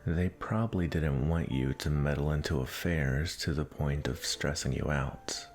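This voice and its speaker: A man playing a smooth, possessive prince who flirts and coaxes in a romantic audio roleplay. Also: rumbling low, a little slow, a little mellow, faint music behind. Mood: soothing, suave. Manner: suave, flirtatious, coaxing. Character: smooth, possessive suitor prince courting his arranged bride.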